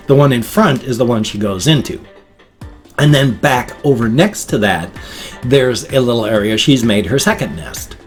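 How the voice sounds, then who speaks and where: A middle-aged American man chatting casually and off the cuff in a rambling, dorky vlog manner. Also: medium pitch. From United States. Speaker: vlogger. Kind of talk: casual unscripted vlog chat.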